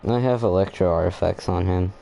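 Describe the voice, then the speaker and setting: A young man giving casual, halting gameplay commentary, thinking aloud as he plays. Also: deep voice, creaky, mellow, rough recording. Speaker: gaming video host.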